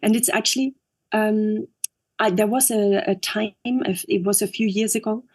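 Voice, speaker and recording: A woman with an Austrian German accent talks in English as an interview guest, pausing often and filling gaps with ums and uhs. Her pitch sits in the middle range, and she speaks thoughtfully and without hurry. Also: steady tone, a little mellow. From Upper Austria. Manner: thoughtful, halting with frequent fillers. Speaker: guest, actress and director. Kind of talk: interview conversation.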